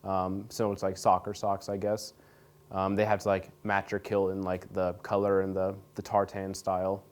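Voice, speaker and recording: Young male interview guest, low-pitched and smooth; easygoing, rambling delivery with lots of "like" and "um". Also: moderate pace. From Nebraska, USA. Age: young adult. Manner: relaxed, rambling, many fillers and restarts. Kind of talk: casual interview answers. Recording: radio studio interview.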